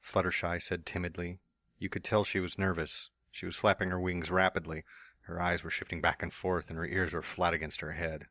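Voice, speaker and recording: A man's voice: low voice, flat delivery, a little fast, bright, forceful tone, dry recording, clean studio sound. A man reading a fan story aloud, narrating in an earnest first-person manner. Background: faint background music.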